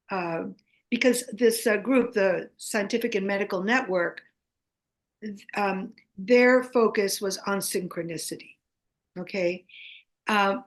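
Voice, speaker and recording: An older American woman, a native Greek speaker, talking as a guest in a casual interview, halting with many fillers and restarts. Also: medium pitch. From United States.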